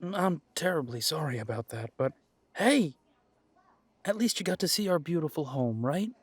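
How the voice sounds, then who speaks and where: A man's voice: on the high side, lively intonation, moderate pace, breathy edge, no echo. A man playing a wry, tired and protective male werewolf pack alpha in an audio drama, with dry sarcasm. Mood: wry, assertive. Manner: dry, sarcastic, hesitant when weary.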